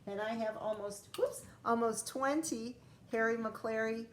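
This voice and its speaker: A woman's voice: a little high, a little slow, a little hiss or hum. A woman first-grade teacher reading a picture book aloud to young children, expressively drawing out lines and adding chatty asides.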